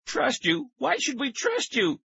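A man voicing a lively, bossy girl with an eager, insistent and demanding way of talking. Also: on the high side, normal speed, husky, no echo, dull audio, pitched above the performer's own voice, exaggerated voice. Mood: suspicious. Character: pushy, lively girl, bossy and suspicious friend.

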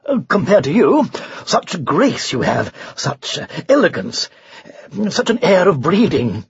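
A man voicing a lanky, courteous male knight, eager and full of hesitant, effusive flattery, in a children's storybook. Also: high-pitched, a little slow, vocal fry, husky, dry recording, muffled recording, heavily acted. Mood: flattering.

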